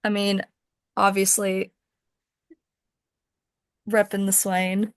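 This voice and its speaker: A woman's voice: mid-range voice, completely flat delivery, slow pace, smooth, no room sound, studio quality. A woman hosting a podcast in casual conversation. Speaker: podcast host. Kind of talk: casual chat.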